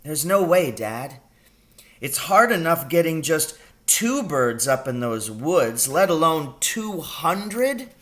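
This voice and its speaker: Man putting on a storybook young son, lifted pitch, bright tone, anxious, urgent, full of doubt. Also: very animated, takes it slowly, exaggerated voice. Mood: questioning. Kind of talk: children's audiobook character reading.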